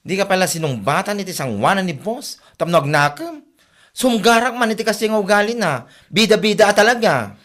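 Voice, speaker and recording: A woman's voice: medium pitch, very animated, normal speed, lightly acted. A woman with an Ilocano accent acting a resentful, gossipy office worker in a radio comedy drama, mocking and exasperated. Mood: scornful.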